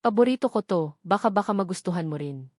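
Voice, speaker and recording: Marco, an awkward, soft-spoken man voiced by a woman. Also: medium pitch, lively intonation, fast talker, dry recording, studio quality, close to an everyday voice. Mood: awkward, apologetic. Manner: hesitant, stammering, soft-spoken.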